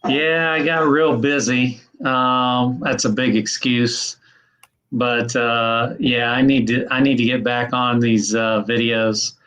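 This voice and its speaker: A man's voice: mid-range voice, level tone, slow, fairly bright tone. A man in his mid-fifties co-hosting a casual chat, easygoing and emphatic. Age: 50s.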